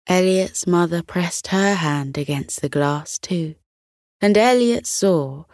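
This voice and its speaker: A woman narrating a children's bedtime story in a soothing, deliberate, expressive storytelling style. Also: fairly low pitch, no echo, studio quality.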